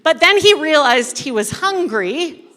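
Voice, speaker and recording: A woman leading a live dramatic reading as a church storyteller, with playful, emphatic phrasing and pauses. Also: high-pitched, very animated, bright, forceful tone. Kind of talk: animated storytelling to a live audience, with asides to participants. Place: large indoor church room. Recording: reverberant hall, live event sound.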